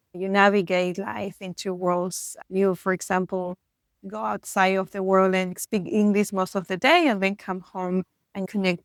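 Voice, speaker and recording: A middle-aged woman from Argentina answers reflectively in an interview. She speaks English with an Argentine accent, at a middle pitch, in a very dry recording. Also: no room sound. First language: Spanish.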